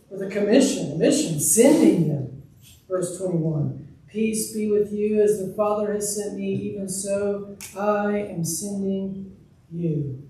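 Pastor, man in his thirties, earnest pulpit sermon, dark mellow tone, distant mic in a reverberant sanctuary with faint ambience. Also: high voice, a little hiss or hum. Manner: earnest, emphatic preaching with open gestures. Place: church sanctuary, speaking from a pulpit. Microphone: room mic, far from speaker. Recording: echoey distant church recording.

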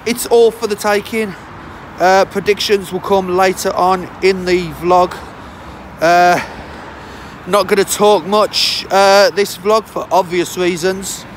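Croaky high-voiced vlogger man. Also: a bit bright, a little hiss or hum. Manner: chatty, rambling with many fillers. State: hoarse, croaky from a sore throat. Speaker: football fan vlogger. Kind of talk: casual match-day vlog to camera. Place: outdoors on a city street on a cold day. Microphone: phone held at arm's length.

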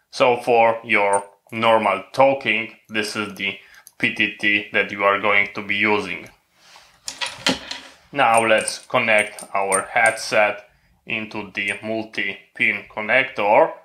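A man's voice: fairly low pitch, bright, forceful tone. A man who is a non-native English speaker, presenting a hands-on equipment tutorial in a methodical, step-by-step way. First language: not English.

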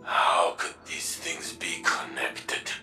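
Slow, deep, robot warrior. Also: male voice, steady tone, bright, forceful tone, soft background music, exaggerated voice. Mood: anguished, resolute. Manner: halting, solemn, emphatic. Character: weary, noble male robot warrior, earnest and wounded. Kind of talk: dramatic video game monologue.

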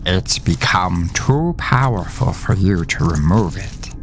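A man voicing a solemn, knowing demon guide in a horror story, with a breathy half-whisper and a slow, deliberate delivery. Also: deep voice, expressive.

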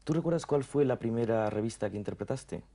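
A man's voice: medium pitch, a little fast, mellow. A man, asking questions as a television interviewer. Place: dim furnished lounge, indoors. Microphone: table microphone on a stand.

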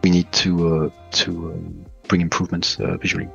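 Low-voiced Belgian-born man on a headset. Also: monotone, a little fast, vocal fry, breathy edge, dull audio, soft background music. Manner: hesitant, many fillers and restarts. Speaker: head of a game studio. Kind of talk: casual interview over video call. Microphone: headset over video call.

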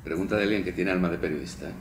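A man's voice: sits low, very fast, echoey room, slight background noise. An older man interviewing a guest in a studio, reading his questions from a sheet. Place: studio set with pink backdrop. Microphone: table mic.

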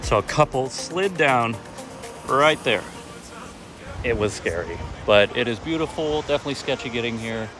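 A man's voice: mid-range voice, expressive, normal speed. A man in his thirties filming a casual travel vlog outdoors on a phone held at arm's length, with upbeat, joking tour-guide patter. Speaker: vlogger. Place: outdoors on a forested coast. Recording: music playing behind.

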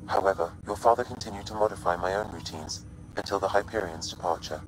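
Male actor as a deep-voiced, measured game AI, over an ambient hum. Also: close to an everyday voice, rough recording. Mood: calm, explanatory. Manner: measured, precise, even delivery. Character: calm male ship artificial intelligence. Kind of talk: video game voice acting.

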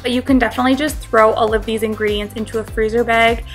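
A woman's voice: on the high side, steady tone, quick pace, soft background music. A young woman giving a friendly, instructive voice-over for a home cooking tutorial. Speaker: cooking vlogger.

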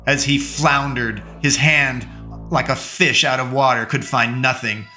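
Bright-voiced man narrating a story. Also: medium pitch, fairly even intonation, faint music behind. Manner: measured, dramatic reading. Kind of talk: first-person story narration.

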